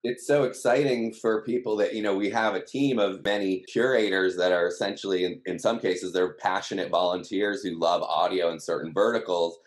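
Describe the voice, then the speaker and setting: A man's voice: deep voice, fairly even intonation, brisk, hardly any pauses. A man speaking as an interview guest, explaining things earnestly in a conversational way. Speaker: company team member, interview guest. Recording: echoey room sound.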